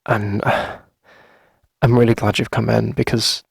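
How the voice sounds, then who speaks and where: A man playing a shy, friendly, flirty coffee shop owner in a gentle ASMR roleplay, speaking hesitantly in a husky, breathy voice. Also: on the low side, level tone, dry recording, studio quality, exaggerated voice. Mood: awkward, earnest. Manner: hesitant, gentle, halting with soft chuckles. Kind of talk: soft conversational ASMR roleplay.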